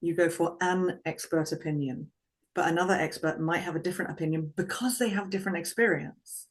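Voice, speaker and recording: A woman speaking as a guest vocal confidence coach in an interview, calm and reflective, in measured phrases. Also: on the low side. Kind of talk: conversational interview.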